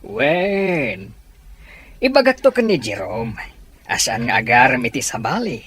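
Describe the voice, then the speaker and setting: A man's voice: medium pitch, very animated, unhurried, a bit bright, a little hiss or hum. A man playing a kindly, playful old grandfather in an Ilocano radio drama, with a coaxing, chuckling delivery. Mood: playful, fond.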